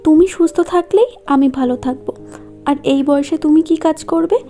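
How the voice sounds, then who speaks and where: A woman's voice: high voice, brisk, warm tone, soft background music. A woman playing a sweet, devoted young village granddaughter in a cartoon story. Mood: reassuring.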